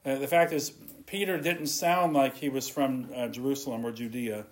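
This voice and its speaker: A man's voice: medium pitch, steady tone, normal speed. A man preaching an earnest sermon, reading scripture and retelling it in his own words. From not from Scotland.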